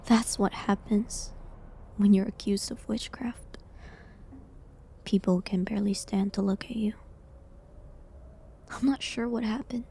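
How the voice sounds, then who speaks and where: Girl voice acting sad falsely accused herbalist lady, hushed n halting, really mellow, slow, bit of background noise, audio roleplay. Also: medium pitch, steady tone. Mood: sorrowful. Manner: hushed, breathy, halting delivery. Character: gentle condemned village herbalist woman, falsely accused, sorrowful. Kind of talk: dramatic audio roleplay monologue.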